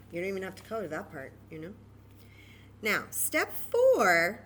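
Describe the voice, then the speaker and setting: Animated woman teaching a children's craft. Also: medium pitch, slight background noise. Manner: informal, patient, reassuring, repeats and stresses key words. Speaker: children's story time presenter leading a craft. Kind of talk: step-by-step craft tutorial for children.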